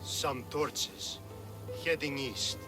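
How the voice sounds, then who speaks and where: A man's voice: medium pitch, fairly even intonation, very slow, music in the background. A man playing a cowardly, bumbling guard in a video game, hesitant and blustering as he corrects himself. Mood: sheepish, defensive.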